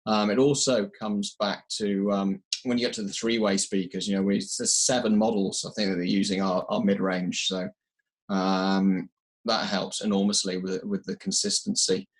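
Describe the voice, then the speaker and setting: A man giving technical answers in an interview, measured and explanatory, pausing to find his words. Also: fairly low pitch, little pitch movement, breathy edge, low-quality recording. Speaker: audio company representative being interviewed.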